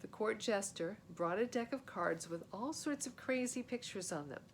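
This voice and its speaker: A woman narrates a children's storybook in an animated read-aloud style, at an ordinary middle pitch. The recording carries clear steady noise with a low rumble. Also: normal speed.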